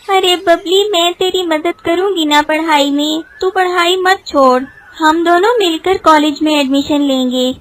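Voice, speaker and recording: A woman's voice: very high-pitched, unhurried, few pauses, a bit bright. A woman voicing a devoted, studious teenage girl who pleads and coaxes in a cartoon story. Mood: pleading.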